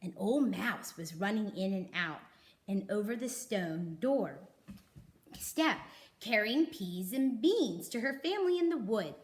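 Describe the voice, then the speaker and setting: Lady reading a kids story out loud, super expressive, pitch goes up and down a lot, dramatic pauses. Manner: animated, dramatic emphasis with pauses. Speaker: storybook reader. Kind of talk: expressive children's story narration.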